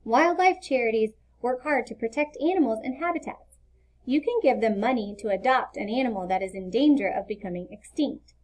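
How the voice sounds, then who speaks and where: Higher-voiced woman reading a children's storybook. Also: a little fast, a little mellow. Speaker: storybook reader. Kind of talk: scripted children's read-aloud narration.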